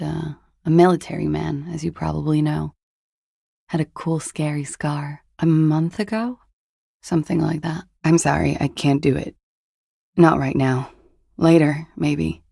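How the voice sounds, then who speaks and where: An actress playing a jaded, hard-partying young disco dancer in a video game, husky and languid, with a guarded, deadpan delivery. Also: female voice, sits low, dry recording, clean studio sound, full character voice. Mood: guarded, shaken. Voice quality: husky, gravelly with vocal fry. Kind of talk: video game voice acting, intimate dialogue.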